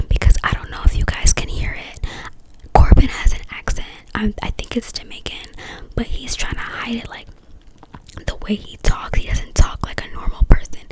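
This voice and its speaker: A woman speaking in a breathy whisper, giving casual, opinionated commentary in slangy, informal phrasing, in an ASMR-like style. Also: on the high side, low-quality recording. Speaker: vlogger reviewing a reality dating show.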